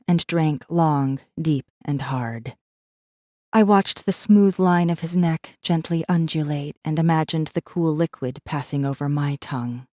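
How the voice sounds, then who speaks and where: A low, mellow-voiced defiant captive. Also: female voice, no echo, studio quality. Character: defiant, bitter captive woman, a rebel assassin. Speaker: audiobook narrator. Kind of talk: first-person dramatic narration.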